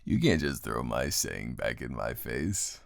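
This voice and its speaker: A man improvising an intimate boyfriend roleplay as a teasing, affectionate roommate, talking in a casual, halting way with a low, gravelly voice. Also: very animated, dry recording, studio quality. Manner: casual, halting, unscripted delivery. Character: grumpy, teasing, affectionate male roommate turned lover. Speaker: audio roleplay performer.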